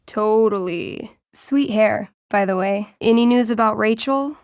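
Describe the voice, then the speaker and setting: A woman's voice: medium pitch, unhurried, a little mellow, no room sound, clean studio sound, close to an everyday voice. A woman playing a friendly, nerdy teenage girl in a video game, casual and playful, with a game master's flair for drama. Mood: warm, casual. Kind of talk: naturalistic video game voice acting.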